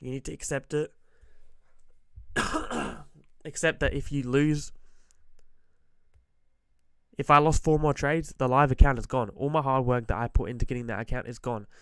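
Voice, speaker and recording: A man who is a bit sick and slightly hoarse, giving blunt, emphatic trading-psychology advice and clearing his throat often. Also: medium pitch, fairly even intonation, normal speed, long pauses, dry recording. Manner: direct, emphatic, repeats key points. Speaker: forex trader giving advice. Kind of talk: motivational trading-psychology talk to camera.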